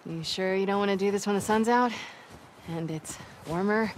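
A blunt young woman voiced by an actress in naturalistic game drama. She is casual, dry and teasing, at a middling pitch. Clear outdoor noise runs behind her. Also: normal speed, natural voice. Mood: dry, curious. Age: young adult. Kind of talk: naturalistic video game acting.

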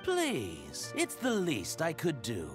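A man's voice: fairly high pitch, wildly swooping pitch, slow, music in the background, exaggerated voice. An actor playing an earnest, devout middle-aged male shopkeeper in a video game, speaking deferentially. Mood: grateful, earnest. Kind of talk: video game character acting.

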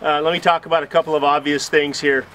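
A man's voice: a little high, level tone, quick pace, a bit bright. A man in his forties explaining hands-on trade work in a casual, plainspoken, matter-of-fact way, talking to a camera outdoors. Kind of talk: casual instructional talk to camera. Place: outdoors beside a house at a dig site. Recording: outdoor noise, clicks.

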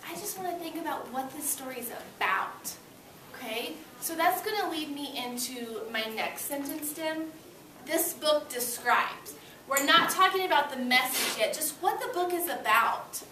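High-pitched young woman teacher, distant mic. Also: normal speed. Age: young adult. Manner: encouraging, expressive, teacherly phrasing. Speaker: elementary school teacher. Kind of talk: interactive read-aloud to young children. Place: classroom with children seated on the floor. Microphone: far camera. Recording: roomy, noisy classroom, distant microphone.

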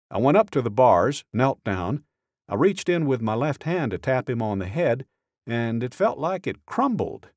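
Man narrating with very lively pitch. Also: no room sound, studio quality. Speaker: audiobook narrator. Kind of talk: scripted audiobook narration of true crime. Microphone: close studio microphone.

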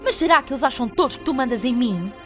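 A woman with a European Portuguese accent voicing a selfish, haughty young theatre star in an animated film dub. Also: high voice, fast, music in the background. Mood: self-important, cold. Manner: haughty, commanding. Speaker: fan dub voice actor.

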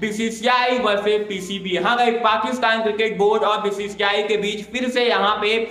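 A young man presenting an energetic cricket news roundup to camera, gesturing with his hands and repeatedly calling out to his viewers. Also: sits high, moderate pace. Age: young adult. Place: small indoor room with plain wall. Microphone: clip-on lapel mic. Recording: roomy echo.

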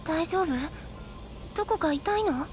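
A woman's voice: extremely high pitch, measured pace, noisy background. A woman playing a caring, feisty, protective young woman in Japanese video game acting. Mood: worried. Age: young adult.

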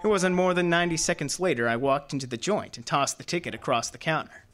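A man's voice: a little high, fast talker, fairly bright tone, soft background music, lightly acted. A man playing a tough, wisecracking detective's assistant in an old-time radio drama, talking in breezy hard-boiled slang. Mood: hard-boiled narrating.

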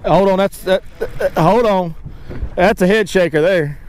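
Excitable man on a fishing boat. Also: high-pitched, unhurried, a little hiss or hum, rough recording. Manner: excitable, folksy, talks to his dog and fish. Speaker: fishing guide and channel host. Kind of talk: casual fishing vlog narration. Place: outdoors on a boat on a lake.

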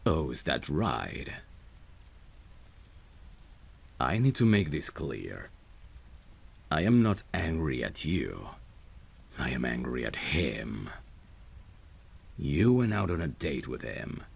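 A man playing a protective, lovesick friend in a romantic ASMR roleplay, speaking tenderly and intimately in a husky, gravelly voice. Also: rumbling low, sing-song, heavily acted. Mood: tender, concerned. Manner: tender, soothing, unhurried. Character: protective lovesick male friend, gentle and devoted.

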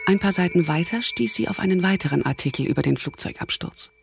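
A woman's voice: fairly low pitch, lively intonation, fast talker, vocal fry, over music. A woman narrating a scripted German thriller audio drama as the storyteller.

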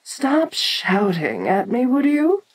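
An ancient kaiju giantess played by a woman speaks straight to the listener in an audio roleplay. She is imperious and condescending, taking her time over each phrase while her pitch swoops with lively, theatrical expression. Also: slow, heavily acted. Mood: weary, irritated. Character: ancient giant kaiju queen, haughty, domineering female monster.

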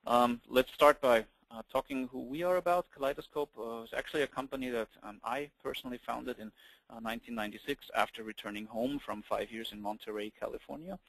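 A middle-aged man with an Austrian German accent presenting a webinar over slides, talking quickly with many ums and uhs. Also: mid-range voice, creaky, fairly bright tone, no room sound, poor audio. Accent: German-speaking Austrian. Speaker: webinar presenter, company founder. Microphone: computer mic on a webinar call.

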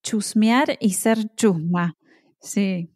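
A woman's voice: medium pitch, extremely slow, darkish tone. A woman with an Argentine accent, a podcast guest who explains things with examples and laughs often. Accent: Argentine Spanish. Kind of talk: casual conversation.

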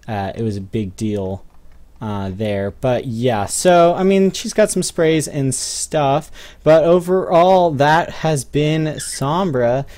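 A man's voice: mid-range voice, darkish tone. A young man hosting a gaming video with casual, off-the-cuff commentary.

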